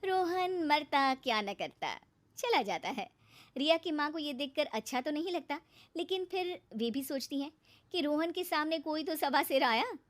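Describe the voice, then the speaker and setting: A woman's voice: sits high, animated, normal speed. A woman reading scripted narration for a cartoon moral story.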